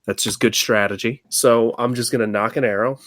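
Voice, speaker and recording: A man's voice: medium pitch, steady tone, a little fast, a bit bright, no echo. A man plays a tabletop game, talking casually in a mid-range voice. His manner is wry and jokey, and the sound is close and very dry.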